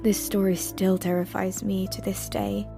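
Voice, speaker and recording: A woman's voice: medium pitch, little pitch movement, normal speed, vocal fry. A woman reading a scripted scary story in the first person, as a narrator. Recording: loud background music. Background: music.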